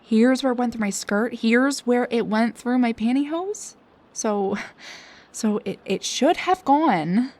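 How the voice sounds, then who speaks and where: A young woman playing a snarky, high-strung research assistant in an intimate audio roleplay, with a halting, stammering, dramatic delivery. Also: expressive, a little mellow. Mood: alarmed, indignant. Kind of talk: intimate one-sided audio roleplay acting.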